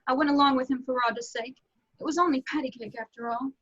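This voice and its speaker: A woman playing a sultry, pleading cartoon femme fatale in an amateur table read of a film script, with a breathy delivery. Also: sits high, fairly even intonation, quick pace, a bit bright. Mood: soft, wistful. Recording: dull, lo-fi home recording.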